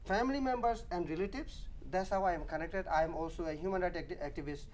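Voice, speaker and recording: A man's voice: high voice, fast, darkish tone. Man, earnest activist guest, emphatic listing, studio interview, slight noise underneath. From Arakan, Burma. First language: Rohingya. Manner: earnest, emphatic, piles up lists and repeats points. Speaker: guest, human rights activist.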